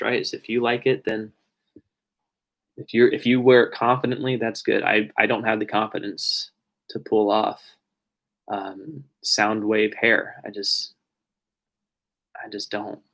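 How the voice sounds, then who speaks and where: A young man chatting casually on a live stream and answering viewer questions, rambling and correcting himself, his voice growing raspy from talking for an hour. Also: fairly low pitch, low-quality recording. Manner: rambling, self-correcting, trailing off quietly. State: voice getting raspy from long talking.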